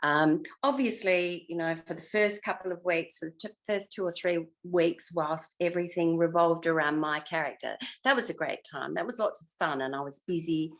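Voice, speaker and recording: An older woman with a slightly low voice speaks as an interview guest looking back on her acting days. She is effusive in her praise and often pauses as she reaches for details. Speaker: interview guest, former TV actress. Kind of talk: reminiscing interview chat.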